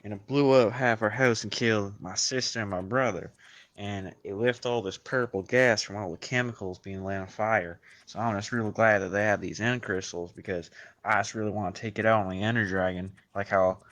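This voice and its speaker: A young man on a podcast, improvising deadpan comic anecdotes in a casual, understated, halting way. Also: on the low side, no room sound. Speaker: podcast member. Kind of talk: improvised deadpan comedy chat.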